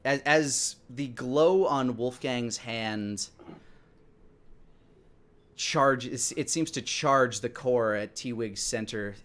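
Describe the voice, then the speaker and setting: A man's voice: medium pitch, extremely slow, a bit bright. A man in his thirties hosting and narrating an improvised tabletop game as game master. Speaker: game master and show host.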